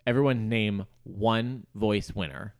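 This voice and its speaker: A man co-hosting a casual comedy chat, playful and teasing, often jumping in with quick interjections. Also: on the low side, creaky. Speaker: podcast co-host.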